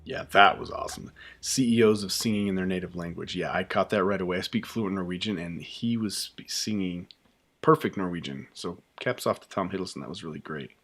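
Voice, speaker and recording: Casual male streamer, deep voice, loose chatty delivery with fillers. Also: fairly quick. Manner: relaxed, chatty, many fillers and restarts. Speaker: YouTube host reacting to a show. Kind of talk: casual live reaction chat.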